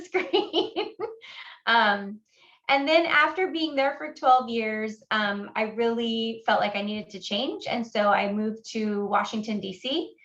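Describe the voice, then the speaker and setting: Woman, 40s, from Honduras, admissions speaker; chatty webinar delivery, mid pitch, muffled call audio. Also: a bit bright. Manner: friendly, conversational, frequent fillers. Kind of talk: online webinar presentation. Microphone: video call.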